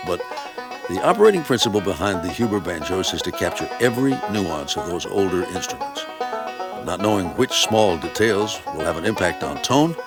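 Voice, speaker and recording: A man reading a scripted promotional voice-over in a smooth delivery. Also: sits low. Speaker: narrator. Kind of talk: scripted narration. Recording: music bed under voice-over.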